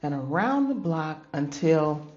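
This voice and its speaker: Woman doing storytime for kids, slow n dramatic, kinda dull lofi sound w soft music. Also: sits low, very animated. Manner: dramatic, with drawn-out phrasing and questions to listeners; addresses listeners as babies, grandmotherly. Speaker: storybook reader and host. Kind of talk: expressive children's read-aloud storytelling. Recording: dull lo-fi audio with music behind. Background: background music.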